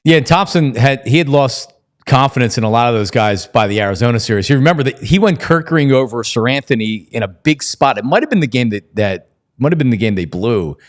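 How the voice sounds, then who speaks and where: A man's voice: mid-range voice, animated, fast. A man hosting a casual sports talk show, conversational and opinionated as he interviews a guest.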